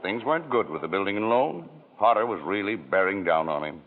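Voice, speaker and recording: An actor playing a calm, fatherly senior angel who tells the story in 1940s radio acting, husky and unhurried. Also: sits low. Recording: tinny old radio broadcast.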